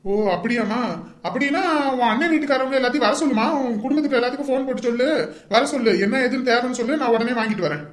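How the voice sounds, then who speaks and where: Woman acting bossy male village elder, rapid talker. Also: a little high, close to an everyday voice. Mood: brisk instructing. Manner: scolding, commanding, blunt. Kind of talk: amateur Tamil village family drama. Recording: roomy, echoing sound.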